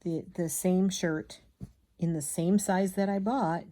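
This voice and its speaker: A woman chatting casually as she hand stitches, rambling and absent-minded, muttering to herself, with a slightly gravelly voice. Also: on the low side, fairly even intonation, slow, warm tone. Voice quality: slightly gravelly from a frog in the throat. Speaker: craft vlogger.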